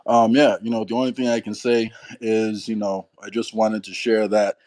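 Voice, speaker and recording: A man from Turks and Caicos with a Caribbean accent, calling in to a radio show and speaking in a measured way with many fillers. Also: lowish voice, level tone, a little mellow, dry recording. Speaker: caller.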